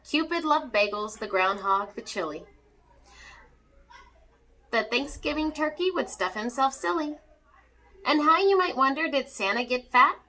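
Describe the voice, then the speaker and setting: A woman reading a rhyming children's story aloud in an animated, theatrical way. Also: fairly high pitch, poor audio. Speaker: teacher reading a picture book aloud to children.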